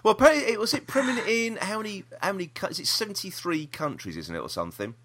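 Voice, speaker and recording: A man's voice: high-pitched, very animated, fast, fairly bright tone. A British man hosting a podcast, chatting casually about the news in a rambling, opinionated way. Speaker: podcast host.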